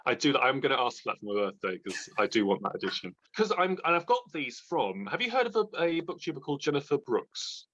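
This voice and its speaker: A man's voice: medium pitch, sing-song, fast, few pauses, fairly bright tone, highs cut off. A man in his thirties chatting casually and enthusiastically about books, joking at his own expense as he co-hosts. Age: 30s. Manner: enthusiastic, jokey, self-deprecating. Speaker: book vlogger and readalong co-host. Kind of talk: casual unscripted book chat.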